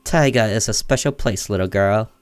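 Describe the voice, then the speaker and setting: A man's voice: lowish voice, moderate pace, clear, resonant tone, no echo, close to an everyday voice. A man voicing a caring old grandmother who tells eerie folk warnings in a visual novel. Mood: gentle, ominous. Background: music under the voice.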